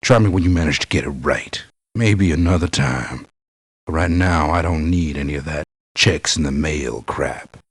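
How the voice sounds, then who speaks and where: Video game mercenary, deep raspy male voice, blunt, cynical, slow delivery. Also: dry recording, studio quality, exaggerated voice. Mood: blunt, grumbling. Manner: blunt, curt, tough-talking. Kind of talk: video game character voice lines.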